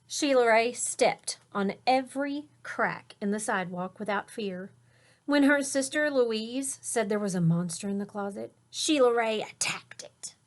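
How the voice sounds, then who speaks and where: A woman's voice: on the high side, a little slow. A woman teacher reading a picture book aloud to children, explaining words and pointing out the pictures, sometimes dropping to a whisper.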